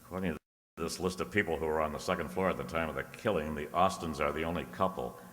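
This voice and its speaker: Man playing a cool, bottom-deep detective, unhurried and wry, radio crime drama recorded in an echoing hall. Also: a bit bright. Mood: shrewd, deducing. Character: cool, shrewd, wry male private detective. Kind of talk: detective radio play acting.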